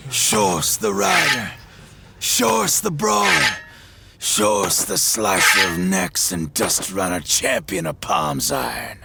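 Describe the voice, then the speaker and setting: An actor playing a bitter one-legged monster hunter who boasts loudly and drops into hoarse whispers. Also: male voice, mid-range voice, sing-song, slow, heavy background noise, exaggerated voice. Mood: boastful. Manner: blustering, shouting boasts then dropping to hoarse whispers. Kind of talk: audio drama acting.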